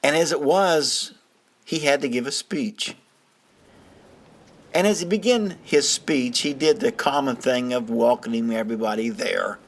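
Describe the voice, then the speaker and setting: A man's voice: mid-range voice, unhurried. Folksy man telling a dramatic story. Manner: folksy, colloquial grammar. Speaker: narrator of true stories. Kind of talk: dramatic first-person storytelling.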